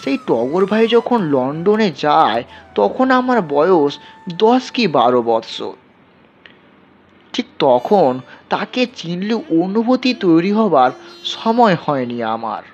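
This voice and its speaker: A man narrating a scripted story in an expressive, unhurried storytelling style. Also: on the high side, a little hiss or hum. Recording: dull, lo-fi sound. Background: music.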